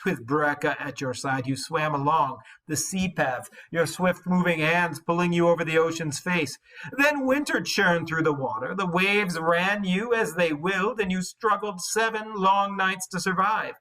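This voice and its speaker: A man voicing a jealous warrior bully from an epic poem, declaiming scornfully with drawn-out, emphatic words. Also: high voice, pushed and bright, pitched above the performer's own voice, rough recording. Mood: taunting, scornful. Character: jealous, boastful-hating male warrior bully, harsh and taunting. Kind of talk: dramatic epic poem read-aloud.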